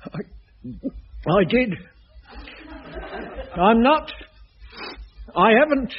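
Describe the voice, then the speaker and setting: A fussy, flustered caretaker in his forties, tripping over his words in a reedy, very high voice. The recording is dull and hissy, like an old radio broadcast. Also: lively intonation, extremely slow, exaggerated voice. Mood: alarmed. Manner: bumbling, stammering, easily flustered. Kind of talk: 1980s radio sitcom acting.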